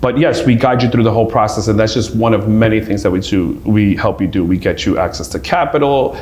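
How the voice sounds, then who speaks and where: A man's voice: lowish voice, brisk, a little mellow. A man from New York, a guest on a radio show, earnestly explaining small business services and often tagging his points with "right?". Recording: echoey room with faint ambience.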